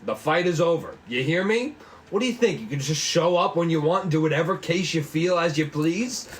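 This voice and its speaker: A man's voice: a little high, lively intonation, brisk, a little hiss or hum, natural voice. A man voicing a stern, exasperated police boss in a noir cop drama, brusque and weary. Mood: firm, scolding. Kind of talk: 1940s noir cop drama table read.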